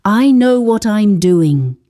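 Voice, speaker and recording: A woman's voice: medium pitch, lively intonation, slow pace, mellow. A woman playing a proud, reckless young village beauty in a dramatic folktale. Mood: dismissive.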